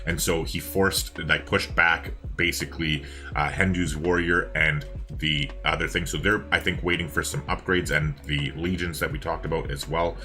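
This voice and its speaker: Low, bright-voiced Canadian man casting games. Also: steady tone, normal speed, hardly any pauses, soft background music. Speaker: co-caster of a strategy game match. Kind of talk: casual live game commentary.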